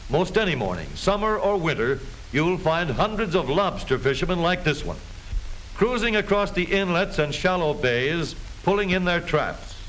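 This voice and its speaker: A man's voice: a little high, fairly bright tone. An American man delivering scripted 1930s newsreel narration in a jaunty, folksy showman's manner. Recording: hissy, noisy 1930s film soundtrack.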